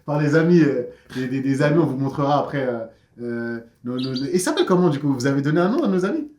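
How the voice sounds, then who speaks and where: A man hosting a casual interview, quick and chatty with many fillers and restarts. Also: medium pitch, sing-song, darkish tone, reverberant. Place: outdoors in a neighbourhood. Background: friends chanting nearby.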